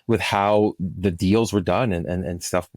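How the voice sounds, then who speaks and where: Deep-voiced middle-aged man in a dry interview. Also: fairly even intonation, measured pace, a little mellow, dry recording. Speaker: guest, film and podcast producer. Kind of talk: conversational interview answers.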